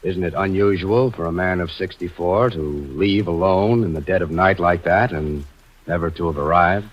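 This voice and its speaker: Probing, formal detective for radio suspense; low-pitched and husky, skeptical. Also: male voice, moderate pace. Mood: insistent, skeptical. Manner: formal, pressing questioning. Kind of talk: old-time radio suspense acting. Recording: dull vintage radio recording.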